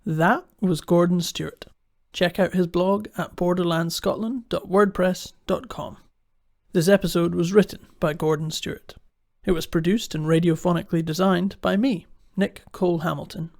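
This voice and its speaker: Male producer for end credits. High-pitched with plenty of vocal fry, scripted announcement. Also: steady tone, normal speed, darkish tone. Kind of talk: scripted podcast outro.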